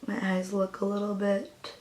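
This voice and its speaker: A young woman with a raspy voice, chatting casually through a makeup tutorial as a new beauty vlogger. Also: mid-range voice, completely flat delivery, moderate pace, roomy sound, noisy background. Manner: chatty, hesitant, with fillers and apologies.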